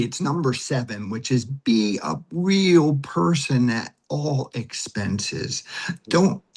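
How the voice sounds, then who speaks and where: A man speaking conversationally in an interview as an acting teacher and director, with an emphatic, instructive manner that leans on repeated phrases. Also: mid-range voice.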